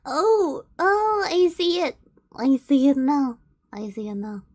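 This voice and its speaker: A woman's voice: sits high, sing-song, slow pace, darkish tone, no room sound, studio quality. A woman streamer reading fanfiction aloud, giggling and stumbling over the words as she goes.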